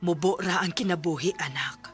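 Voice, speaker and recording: A woman's voice: lowish voice, moderate pace, faint music behind, natural voice. An actress with a Cebuano Filipino accent playing a wise, observant mother advising her grown daughter in a radio drama. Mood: gentle, advising.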